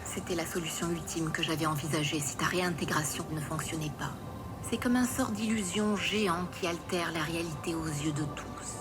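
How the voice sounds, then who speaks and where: A woman's voice: deep voice, moderate pace, music in the background, lightly acted. A woman voicing a grave, commanding elder sister, a sun regent mare, in a fantasy audio drama. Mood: grave, composed. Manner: solemn, commanding, composed.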